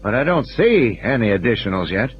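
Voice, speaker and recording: A man's voice: mid-range voice, big pitch swings, brisk, highs cut off, a little hiss or hum, close to an everyday voice. A man voicing a matter-of-fact male control room engineer who explains technical things with assurance, in audio play dialogue. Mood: explaining.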